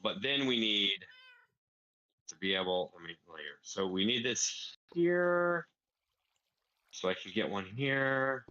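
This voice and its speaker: Man, slightly low voice, crisp bright edge, casual gaming walkthrough, explains step by step. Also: lively intonation, takes it slowly, long pauses, highs cut off. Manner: thinks aloud, step-by-step explaining. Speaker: player explaining a farm build.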